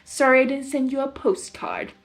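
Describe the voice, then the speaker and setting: A woman's voice: sits high, huge pitch swings, creaky, faint music behind, heavily acted. A woman voicing a theatrical male chaos creature, a mocking villain who feigns innocence in a story reading. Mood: mock-charming. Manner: mocking, mock-innocent, drawn-out.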